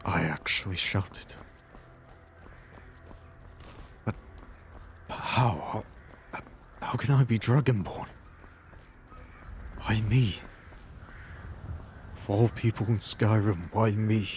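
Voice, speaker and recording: A man playing a bewildered mercenary chosen as a hero in a fantasy roleplay, speaking haltingly and repeating himself. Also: on the low side, a little hiss or hum. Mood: shaken, awed. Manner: halting, breaks off and repeats phrases. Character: bewildered male mercenary, newly chosen dragon-slaying hero. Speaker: player voicing his own game character. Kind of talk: immersive fantasy roleplay acting.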